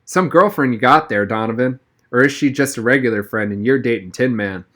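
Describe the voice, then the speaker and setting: A man's voice: mid-range voice, fairly quick, fairly bright tone, natural voice. A man reading a storybook as a teasing schoolboy, mocking and mock-serious in manner.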